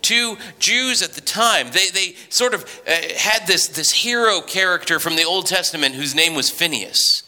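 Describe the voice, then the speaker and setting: A man's voice: sits high, moderate pace, hardly any pauses, sharp tone. A man preaching a sermon in a conversational, storytelling way, often restarting his sentences. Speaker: pastor. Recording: reverberant hall.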